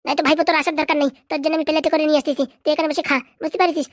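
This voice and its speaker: A woman's voice: high voice, little pitch movement, rapid-fire, no echo, studio quality. A woman voicing a doting, simple-minded village uncle in a comedy cartoon, with a fussy, folksy, insistent way of talking. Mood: protective, insistent.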